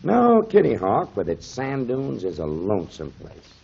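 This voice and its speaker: An actor playing a kindly, avuncular mentor explaining things to children in emphatic 1930s radio style, on a muffled old recording. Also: male voice, medium pitch, wildly swooping pitch, a little slow, vocal fry, darkish tone, slight background noise, exaggerated voice. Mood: earnest storytelling. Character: kindly grown man, storytelling mentor to children, pipe smoker.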